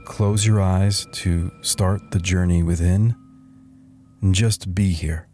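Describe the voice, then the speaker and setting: A man playing a wry, weary middle-aged slacker turned meditation guru, narrating a satirical guided meditation in a deadpan, soothing way with deliberate pauses. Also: sits low. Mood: calm, deadpan. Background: soft background music.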